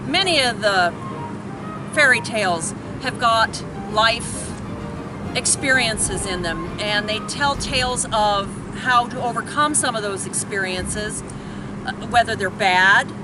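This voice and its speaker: A woman from a theatre cast giving a measured interview answer. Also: a little high, over music, poor audio. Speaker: community theatre cast member. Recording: loud vehicle-like ambient noise.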